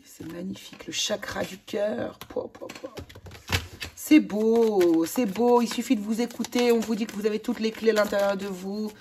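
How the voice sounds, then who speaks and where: A woman with a hoarse voice, chatting enthusiastically through a tarot card reading for viewers. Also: mid-range voice, background noise. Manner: chatty, enthusiastic, many fillers and exclamations. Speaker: tarot reader.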